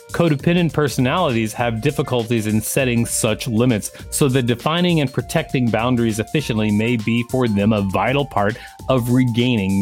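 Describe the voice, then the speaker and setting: A man with a medium-pitched voice co-hosts a podcast, reading reference text aloud and adding casual remarks. Quiet guitar music sits behind his voice. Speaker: podcast co-host reading an encyclopedia article. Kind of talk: scripted narration read aloud, with brief casual commentary.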